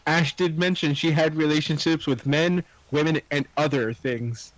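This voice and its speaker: Slightly high man, stammering clarifications. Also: steady tone, normal speed, no echo, low-quality recording. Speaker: table read participant.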